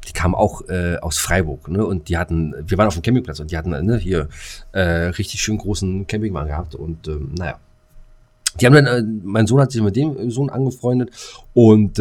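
A middle-aged man chatting as a podcast co-host, telling stories in coarse, slangy, exasperated fashion. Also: low-pitched. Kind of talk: casual chat, storytelling.

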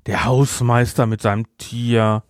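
A man's voice: mid-range voice, level tone, unhurried, clear, resonant tone, no room sound, clean studio sound. A man co-hosting a casual film review podcast, talking emphatically and repeating words for effect. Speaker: podcast co-host.

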